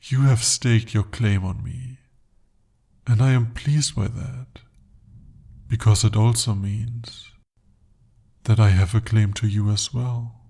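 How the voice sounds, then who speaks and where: A man voicing a breathy, possessive bound demon. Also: fairly low pitch, fairly even intonation, full character voice. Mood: jealous, coaxing. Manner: intimate, soft-spoken, slow and deliberate. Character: jealous, possessive male demon bound to a sorcerer. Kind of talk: ASMR roleplay.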